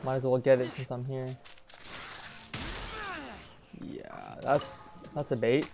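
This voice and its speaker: Young guy chatting over his game, middle pitch, some game music faint behind. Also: takes it slowly. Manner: casual, excitable reactions. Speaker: gaming youtuber. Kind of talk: casual gameplay commentary.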